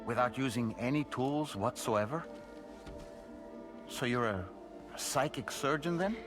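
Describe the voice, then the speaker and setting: A man's voice: medium pitch, lively intonation, a little slow, lightly acted. An actor playing an inquisitive male companion in a video game drama. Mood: curious. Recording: loud music behind.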